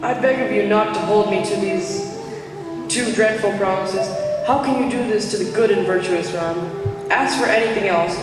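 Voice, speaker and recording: A woman's voice: mid-range voice, expressive, moderate pace, music in the background, lightly acted. A female performer playing a devoted, anguished king in a stage play, recorded in an echoey hall. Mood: pleading. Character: anguished, devoted old king pleading with his wife. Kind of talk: school stage play acting. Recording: echoey gym hall, far from mic.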